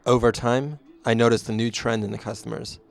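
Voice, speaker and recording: A man's voice: mid-range voice, flat delivery, fairly quick, creaky, natural voice. A man playing a former usher, reflectively narrating his story in scripted first person.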